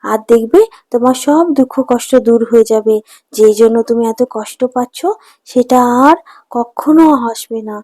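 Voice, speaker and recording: A woman's voice: fairly high pitch, normal speed, warm tone, no echo, studio quality, pitched below the performer's own voice, natural voice. A woman voicing a kindly water fairy in a cartoon, soothing and solemn as she gives blessings. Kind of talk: cartoon voice acting.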